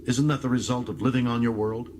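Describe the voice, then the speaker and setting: An actor playing a resolute middle-aged starship captain in an audiobook, questioning in an earnest, emphatic way. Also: male voice, mid-range voice, fairly even intonation, fast, noisy background, voice pushed up, natural voice. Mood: probing.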